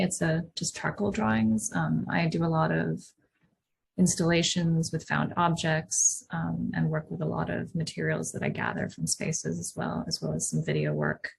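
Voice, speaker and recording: A woman's voice: low voice, gravelly, breathy edge. A woman from Hong Kong, a guest artist on a video-call panel, speaking in a thoughtful way with frequent ums. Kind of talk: panel discussion.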